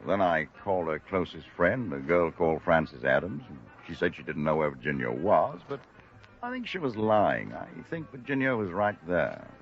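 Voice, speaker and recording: An evasive, well-off American gentleman in a vintage radio drama. His very deep voice swings widely as he hedges and justifies himself. The recording is dull and old. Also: big pitch swings, normal speed. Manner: hesitant, hedging. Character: evasive, self-justifying well-off male host reporting a theft. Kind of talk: 1940s-50s American radio drama acting.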